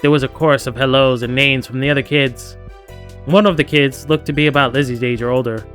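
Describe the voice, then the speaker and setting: The guy on a game stream reading a story game out loud in a middling voice, playfully chiming in over the soundtrack. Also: mid-range voice, fairly even intonation, fairly quick, soft background music. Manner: playful, acts out characters' lines. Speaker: streamer playing a visual novel. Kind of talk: reading game text aloud with casual asides.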